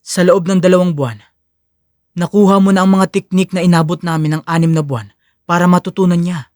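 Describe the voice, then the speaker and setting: A man's voice: sits high, little pitch movement, fairly quick, darkish tone, dry recording, studio quality, lightly acted. A man playing an encouraging male taekwondo coach, instructive and earnest, in an audio drama. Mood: sincere praise. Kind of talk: audio drama character acting.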